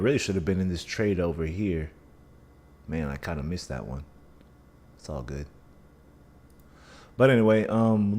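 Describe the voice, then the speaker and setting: Man, scratchy and dry-mouthed, low voice, loose live-stream chart talk with restarts. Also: darkish tone. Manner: thinking aloud, restarts, hedging. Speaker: livestream trading host. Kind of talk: casual live chart analysis.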